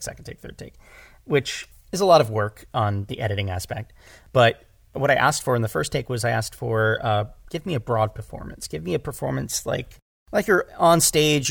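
A man's voice: medium pitch, gravelly. A man talking casually and emphatically in an interview about his craft, restating phrases as he explains. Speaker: audio drama director and podcast guest; former stage actor.

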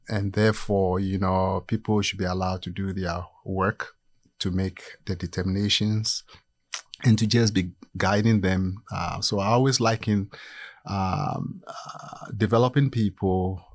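A man from Ghana talking about his career in a relaxed interview, measured and pausing with many fillers. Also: low voice, dry recording. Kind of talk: casual interview chat.

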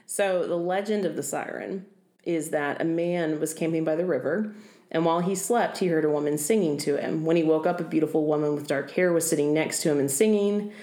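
A young woman with a low voice casually tells folklore on a podcast, joking as she goes. She sounds echoey, recorded in a room at home. She keeps the tone loose and conversational. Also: brisk, mellow. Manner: laughing, joking storyteller. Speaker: podcast co-host.

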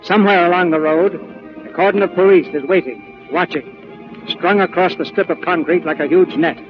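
A man's voice: high-pitched, moderate pace. A man hosting and narrating a 1940s radio theater show in a polished, formal manner. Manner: polished, formal presenter delivery. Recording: dull old radio recording with music.

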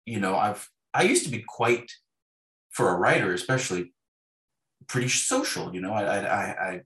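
A middle-aged Canadian man talking reflectively and casually as an interview guest, halting and searching for his words. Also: fairly low pitch, big pitch swings, fairly bright tone. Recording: roomy, echoey voice pickup.

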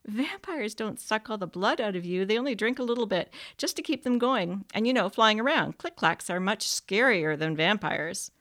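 A woman's voice: mid-range voice, animated, moderate pace, hardly any pauses, a bit bright, pitched above the performer's own voice, natural voice. A woman voicing a precocious, sober little boy who talks in an earnest, matter-of-fact way.

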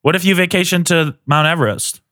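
A man's voice: on the high side, fairly quick, bright, forceful tone, no room sound, studio quality. A man co-hosting a casual podcast, teasing and ribbing his friends. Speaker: podcast co-host.

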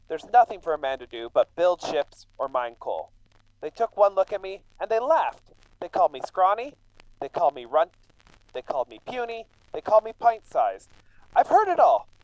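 A man's voice: high voice, sing-song, moderate pace, a little mellow, no room sound, muffled recording. An actor playing a scrawny, resentful immigrant man with an aggrieved, brooding manner in a radio drama. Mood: bitter, wounded. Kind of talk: true crime radio drama acting.

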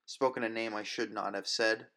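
Low-voiced man narrating dramatic fiction. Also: steady tone, normal speed, sharp tone. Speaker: audiobook narrator. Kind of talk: dramatic scripted first-person fiction narration.

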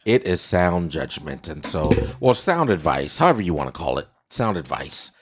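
A very deep-voiced man with a slightly raspy voice hosts a casual podcast. He tells stories with animated repetition and keeps the chat loose and playful. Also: moderate pace, background noise. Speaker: podcast host.

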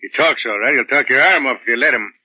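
An actor playing a seasoned, wry arson detective with a matter-of-fact delivery in a 1940s radio drama. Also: male voice, medium pitch, fast talker, sharp tone, husky, no echo. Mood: wry, weary. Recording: muffled, dry vintage radio recording.

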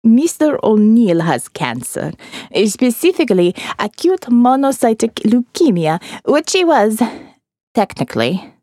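Audiobook character: woman as awkward, creepy middle-aged man of medicine, detached and blunt, soft-edged tone, animated intonation. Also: on the high side, no room sound, clean studio sound. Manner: clinical, matter-of-fact, detached.

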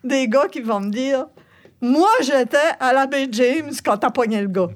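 An older woman speaks with a strong Acadian French accent, her voice a bit higher than most. She tells stories with gusto and laughs often as an interview guest. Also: measured pace. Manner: lively storyteller, laughs often. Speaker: singer-songwriter guest.

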